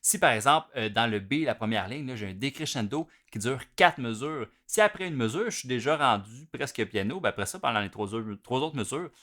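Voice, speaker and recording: A man with a Quebec French accent teaching drums in a relaxed, explanatory way, with plenty of fillers. His voice sits at an ordinary middle pitch. He talks through the material informally and stops between phrases to play. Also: a little fast, few pauses. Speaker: drum teacher. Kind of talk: instructional video lesson.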